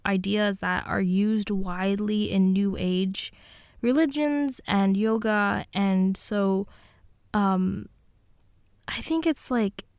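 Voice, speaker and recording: A woman's voice: medium pitch, slow pace, darkish tone, smooth, dry recording, studio quality. A woman co-hosting a podcast, explaining things in a conversational way. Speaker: podcast co-host.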